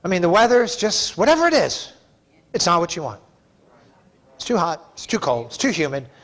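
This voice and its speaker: A man's voice: sits high, big pitch swings, normal speed, a bit bright. A middle-aged man preaching a sermon in a church as pastor, speaking in an emphatic, folksy, conversational way. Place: church sanctuary, preaching from a pulpit.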